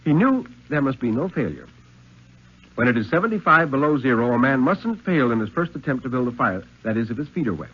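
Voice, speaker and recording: A man reading a thriller story aloud in a 1950s dramatic radio style, with suspenseful, measured phrasing and deliberate pauses. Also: mid-range voice, very animated, slightly rough. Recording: muffled, lo-fi 1950s radio recording.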